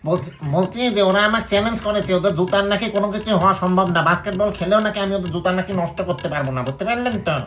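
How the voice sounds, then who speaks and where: A man's voice: high voice, racing, few pauses, a bit bright. A man with a Bangladeshi accent playing a pushy, impatient coconut seller and delivery guy on a prank call, nagging and mock-indignant. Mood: insistent.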